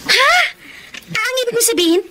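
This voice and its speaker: A woman's voice: very high-pitched, lively intonation, moderate pace, pushed and bright, slight background noise, full character voice. A woman playing a nosy, teasing young friend with a chatty, prying manner in a Tagalog radio drama. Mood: curious, teasing.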